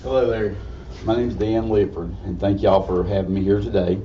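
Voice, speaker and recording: A man with a slightly raspy voice gives an informal history lecture in a folksy, halting way. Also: on the low side, steady tone, warm tone. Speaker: guest lecturer. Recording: distant mic, dull muffled sound, faint noise.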